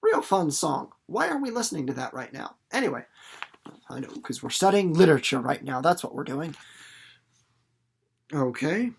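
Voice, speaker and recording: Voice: mid-range voice, very animated, moderate pace, gravelly, pauses often, slightly rough. A teacher with a mid-range voice hosts a read-aloud lesson in a loose, chatty way. The delivery rambles and circles back, repeating words for emphasis. Explanations come with playful, theatrical little demonstrations aimed at students. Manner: rambling, theatrical, with acted-out examples and sound effects. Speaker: reader and literature teacher hosting a read-aloud video series.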